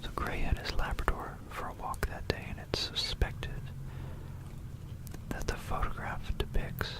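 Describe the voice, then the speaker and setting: A person narrating history in a breathy ASMR whisper, close to a binaural microphone, in a hesitant, meandering way that often repeats phrases. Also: measured pace, low-quality recording.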